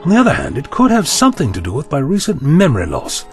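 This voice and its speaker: Guy acting as wry eccentric time traveler, formal n inquisitive, highish lively voice, bit of music behind. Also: quick pace. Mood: thoughtful, puzzled. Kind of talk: audio drama acting.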